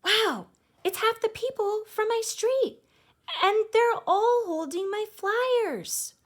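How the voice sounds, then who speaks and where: A woman voicing an excitable, earnest young girl who loves space, in a dramatic picture-book read-aloud. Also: extremely high pitch, slow, voice pushed up. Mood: excited. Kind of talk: children's picture-book character voice.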